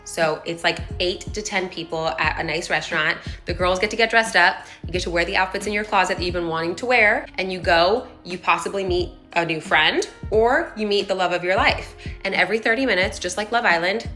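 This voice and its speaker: A woman's voice: fairly low pitch, fairly quick, hardly any pauses, pushed and bright, faint music behind. A woman talking casually and enthusiastically to camera, full of "like" fillers. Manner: chatty, enthusiastic, many "like" fillers. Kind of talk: casual vlog monologue.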